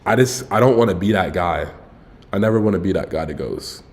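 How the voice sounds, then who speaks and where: A young man in his twenties with a fairly low voice, chatting loosely to his stream audience. He rambles through a story in slangy, relaxed talk, stopping to correct himself and dropping "bro" along the way. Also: brisk. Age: 20s. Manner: laid-back, frequent "bro" asides. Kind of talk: casual storytelling to viewers.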